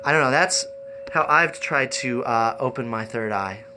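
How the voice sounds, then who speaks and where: A man's voice: medium pitch, normal speed, noisy background. A man hosting a casual improvised livestream, rambling and easily distracted, often repeating his phrases as he goes. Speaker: live-streaming host.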